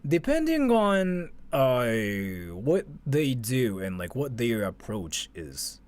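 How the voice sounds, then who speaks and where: A man's voice: mid-range voice, sing-song, slow, darkish tone. A man giving casual, off-the-cuff reaction commentary as a livestream host, rambling conversationally and leaning on filler words like "like".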